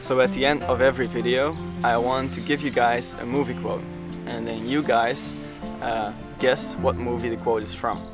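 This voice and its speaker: A man's voice: mid-range voice, steady tone, normal speed, music in the background. A man with a Dutch accent, a native Dutch speaker talking casually to the camera in a motorbike vlog, hesitant, with many fillers and restarts and a slightly awkward manner. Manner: self-conscious, a bit awkward. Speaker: motorcycle vlogger.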